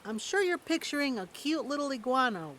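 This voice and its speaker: An actor playing a boastful, excitable young boy who tells tales in an audio drama. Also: very high-pitched, brisk, a little hiss or hum, heavily acted. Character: boastful, excitable twin boy, kid storyteller and adventurer.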